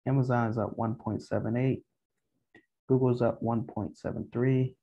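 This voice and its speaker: A low-pitched-to-average man's voice with a soft, dark tone and some huskiness. He presents a casual market update in short, halting phrases. The recording is dull and lo-fi. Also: steady tone, slightly rough. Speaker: stock video host. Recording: dull, muffled lo-fi home recording.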